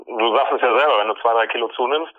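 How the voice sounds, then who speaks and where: A man in a casual chat, agreeable and hesitant, often pausing on fillers. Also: medium pitch, narrow, tinny audio.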